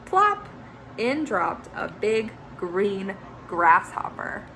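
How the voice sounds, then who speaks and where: A woman teacher reading a children's picture book aloud, expressive, with chatty asides to young listeners. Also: medium pitch, extremely slow, a little hiss or hum, rough recording.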